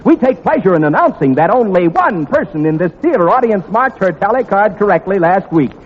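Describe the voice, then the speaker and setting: A man's voice: high-pitched, animated, fairly quick, slight background noise. An American man hosting a 1940s radio quiz in a theater, announcing formally and precisely in a showman's way. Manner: crisp formal showman, repeats and spells out items precisely. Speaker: quiz show host. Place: large theater before an audience. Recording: muffled 1940s radio recording, long theater reverb.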